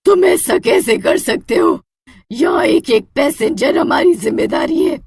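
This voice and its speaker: A woman's voice: very high-pitched, animated, a little slow, creaky, darkish tone, no echo, clean studio sound, pitched above the performer's own voice, heavily acted. A woman playing a worried, dutiful air hostess in a cartoon story, speaking in an earnest, urgent and pleading way. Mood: shocked.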